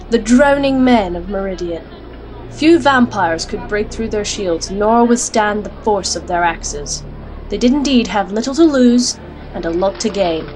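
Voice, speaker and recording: A woman's voice: medium pitch, a little mellow, slight background noise, natural voice, rough recording. An actress playing a determined female alchemist warrior, serious and resolute, in a scripted fantasy audio drama. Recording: music under the voice.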